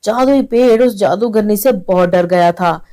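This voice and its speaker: A woman's voice: mid-range voice, a little slow, mellow. A woman narrator reading a scripted story for children.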